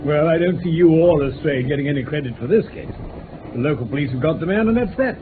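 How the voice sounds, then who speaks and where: Guy playing bluff genial british gent sidekick in an old radio play, higher voice, tinny noisy recording. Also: animated, fast, close to an everyday voice. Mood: curious. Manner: bluff, interjecting with short questions. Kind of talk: radio drama acting and first-person narration.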